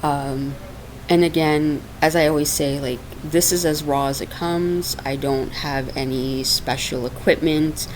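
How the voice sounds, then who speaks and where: A woman's voice: low voice, fairly even intonation, a little slow, rough recording. A woman hosting a spiritual podcast in a casual, chatty way, laughing often and using lots of fillers, recorded on a laptop microphone at home. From Portugal. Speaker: podcast host and minister. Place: dark hot room at home. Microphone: laptop microphone on her lap. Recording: raw home laptop recording with faint hiss.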